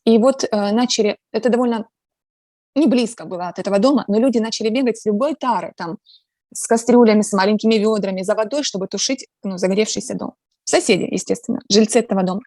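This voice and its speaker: A woman from Ukraine, a guest in a casual interview, speaking earnestly and reflectively with many pauses and filler sounds. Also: medium pitch, warm tone, dry recording, clean studio sound. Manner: earnest, talks about faith.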